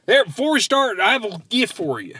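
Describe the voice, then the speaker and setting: A man with a rural American accent co-hosting a comedy podcast, bantering in a folksy, excitable, argumentative way. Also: high voice, fairly quick, husky. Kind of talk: comic banter.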